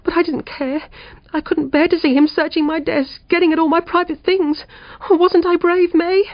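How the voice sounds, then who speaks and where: Lady narrator doing a high trembly excitable woman, breathless, kinda hysterical, storybook, dry sound. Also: moderate pace, dry recording, highs cut off. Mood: tearful, proud. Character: June, shaky excitable woman burgled at home.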